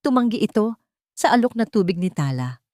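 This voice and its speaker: A woman's voice: mid-range voice, sing-song, brisk, darkish tone, no echo, studio quality. A woman reading a scripted dramatic story as its narrator.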